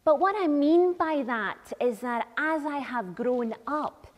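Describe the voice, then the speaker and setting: A woman in her thirties giving a conversational, reflective sermon in a church, pausing between phrases. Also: sits high, expressive. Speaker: minister leading the service. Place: large church interior, at the lectern. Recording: reverberant church hall.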